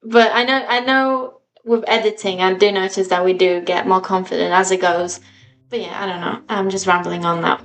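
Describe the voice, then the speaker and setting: Woman podcast cohost, bit far from the mic, rambly casual chat, kinda self-deprecating, normal pitch. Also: steady tone, moderate pace, fairly bright tone, soft background music. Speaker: podcast co-host.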